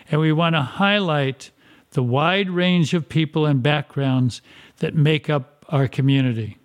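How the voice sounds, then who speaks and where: Slow, earnest male podcast host. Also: fairly high pitch. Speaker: podcast host for a community club. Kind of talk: scripted podcast introduction.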